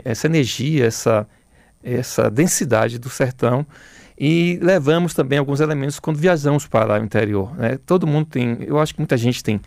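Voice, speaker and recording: A middle-aged man with a Bahian Brazilian accent, talking reflectively as an interview guest, haltingly, repeating words as he searches for phrasing. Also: mid-range voice, creaky, a little mellow, dry recording, clean studio sound.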